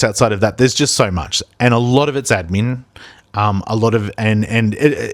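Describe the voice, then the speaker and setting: A man's voice: lowish voice, no echo, studio quality. A man, a primary school teacher hosting a podcast, who talks in a reflective, rambling way with many ums and restarts. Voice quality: big voice. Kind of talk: reflective casual podcast chat.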